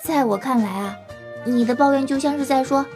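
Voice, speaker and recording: An actress playing a cheeky, guileless last human in an audio drama, plain-spoken, teasing and theatrical. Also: female voice, high-pitched, brisk. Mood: mocking, indignant. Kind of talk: Chinese audio drama acting and narration. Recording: music under the voice. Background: background music.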